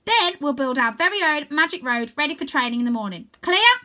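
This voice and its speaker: Storybook girl chief, acted by a woman: fierce, scornful, curt, raised bright voice giving orders. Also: high-pitched, lively intonation, brisk, pitched above the performer's own voice. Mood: barking orders. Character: bossy, fierce young girl chief of a wild gang. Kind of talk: children's storybook character voice.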